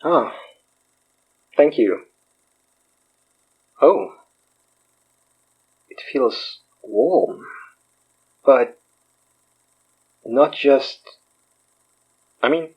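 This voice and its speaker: A man's voice: mid-range voice, expressive, drawn-out words, pauses often, slightly rough, dull audio, full character voice. An actor playing a wry, self-deprecating man in a naturalistic audio drama, joking and rambling as he talks. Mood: hesitant, wondering. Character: wry, self-deprecating man, recently freed from possession, fake guru healer.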